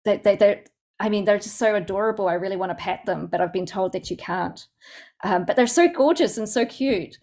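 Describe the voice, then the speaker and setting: A woman's voice: medium pitch, a little fast, a little mellow. A woman with a Southland New Zealand accent, answering questions as a poet in an informal, thoughtful way, often searching for her words. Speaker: poet and guest speaker. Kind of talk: informal question-and-answer talk at a poetry event.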